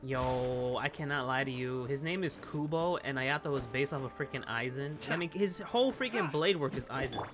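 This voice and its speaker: A man reacts excitedly while he plays a video game. His talk is loose, slangy and casual, with middling pitch, and clear game music runs behind his voice. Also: moderate pace, hardly any pauses. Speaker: game streamer playing and reacting. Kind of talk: casual gaming commentary.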